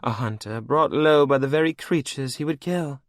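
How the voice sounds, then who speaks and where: A man's voice: medium pitch, normal speed, no room sound, studio quality, voice pushed up, lightly acted. A man voicing a cruel, regal female vampire queen who speaks sweetly and mockingly. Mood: mocking command.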